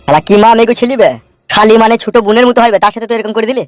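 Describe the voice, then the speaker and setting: A man's voice: sits high, steady tone, racing, no echo, clean studio sound. A man voicing a crude, threatening older father-in-law in a cartoon, berating with a processed voice. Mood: scolding.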